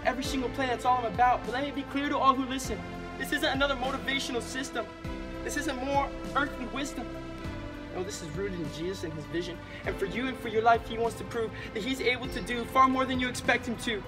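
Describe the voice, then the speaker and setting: A man's voice: very high-pitched, fast. A man in his twenties performing rhymed spoken word poetry with a rhythmic, earnest and emphatic delivery. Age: 20s. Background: music bed.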